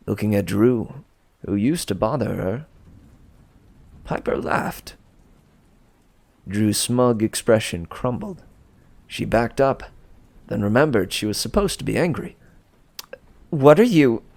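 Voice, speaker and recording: Gravelly man reading a bedtime story. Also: on the low side, a little mellow, dry recording. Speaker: storybook reader. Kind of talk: expressive bedtime story narration.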